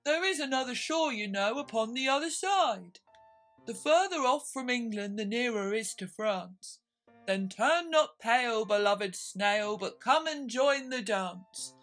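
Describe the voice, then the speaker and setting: A woman performs a sad storybook turtle creature in verse. The delivery is high, very slow and lilting, like a mournful nursery song. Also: lively intonation, a bit bright, faint music behind.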